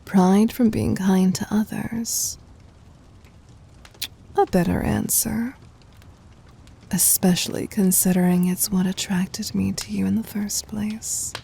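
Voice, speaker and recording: A woman playing a tender, possessive demon queen in an intimate ASMR roleplay, speaking in a soft breathy whisper with regal, formal endearments. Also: medium pitch, fairly even intonation, slow pace, pauses often, exaggerated voice. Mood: tender, seductive.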